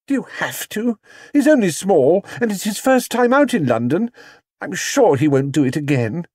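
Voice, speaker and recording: A man's voice: high voice, very animated, normal speed, a little mellow, slightly rough, no room sound, clean studio sound, voice pushed up, heavily acted. A man voicing an anxious, protective motherly woman in a storybook, flustered and pleading.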